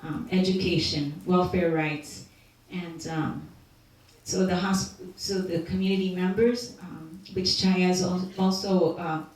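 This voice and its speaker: A woman's voice: lowish voice, steady tone, measured pace, a little mellow. A woman giving an informal talk to doctors about community health history, hesitant and full of fillers and restarts. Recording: very echoey hall audio from far off.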